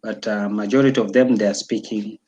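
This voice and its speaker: A young man from Tanzania talking casually as an interview guest, pausing often with fillers and restarts. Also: fairly low pitch, fairly even intonation, a little mellow, highs cut off, a little hiss or hum. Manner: hesitant, many fillers and restarts.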